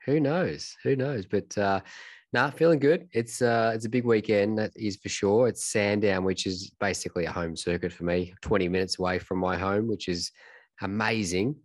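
A man's voice: lowish voice, normal speed, no echo. A man with an Australian accent and a nasal, congested voice, chatting easily as a podcast guest. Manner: relaxed, easygoing, repeats himself. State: congested from a cold. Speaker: racing driver and podcast guest. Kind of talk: casual chat.

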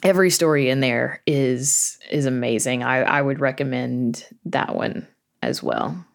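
Woman, low-pitched voice, casual interview guest, conversational, informal storytelling with quoted asides. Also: big pitch swings, measured pace, creaky. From United States. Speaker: podcast guest, horror fiction creator and media reviewer.

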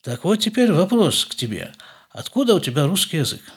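A middle-aged man, a podcast host, calmly asking his grown son questions in an unhurried family conversation. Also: on the high side, husky.

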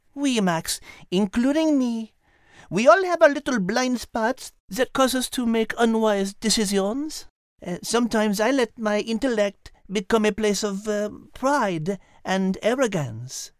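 Woman acting a high, refined brainy hostess. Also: lively intonation, unhurried, dry recording, clean studio sound. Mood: reflective, playful. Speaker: co-host of a children's story podcast. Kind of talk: scripted in-character introduction.

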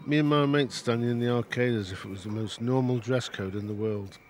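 Wry middle-aged Stoke narrator. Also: male voice, medium pitch, brisk, a little hiss or hum. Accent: Stoke-on-Trent. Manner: wry looking back.

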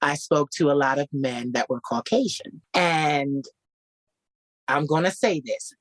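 A man with a naturally light voice, hoarse today and a little husky, telling his story animatedly as a guest. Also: no echo. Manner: animated, repeats phrases, jumps high for quoted voices. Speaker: guest musician. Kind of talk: casual interview storytelling.

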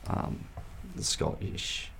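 A man's voice: very slow, crowd noise. A man running a tabletop game, chatting casually with his players and joking with them. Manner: lively, jokey, asks players in turn. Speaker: game master.

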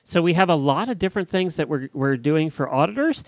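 A man's voice: on the high side, expressive, a little fast, dry recording. A man hosting a podcast, chatting informally and reading out show announcements in a conversational way. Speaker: podcast host. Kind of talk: casual chat and show announcements.

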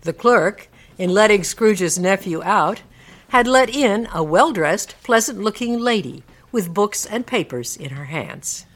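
A woman's voice: mid-range voice, expressive, a little slow, fairly bright tone. A woman narrating a classic story in a dramatic storybook style for a radio play.

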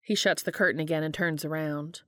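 Female story reader, slightly low register, audiobook-style narration of fiction, dry and close recording. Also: fairly even intonation, a little fast, a bit bright, no echo.

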